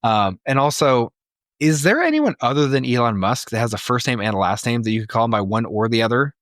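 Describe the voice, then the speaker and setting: A man's voice: medium pitch, very animated, fairly quick, a bit bright, dry recording, studio quality. Blunt, sweary man on a podcast. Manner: sweary, blunt, emphatic ranting. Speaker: podcast co-host. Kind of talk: casual opinionated banter.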